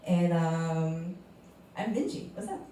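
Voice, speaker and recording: A woman's voice: fairly low pitch, animated, slow, dark tone, a little hiss or hum. A woman from South Korea with a Korean accent, a native Korean speaker, bantering on a comedy stage and laughing between phrases. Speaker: comedy show guest and podcast host. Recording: echoey club from far off with crowd.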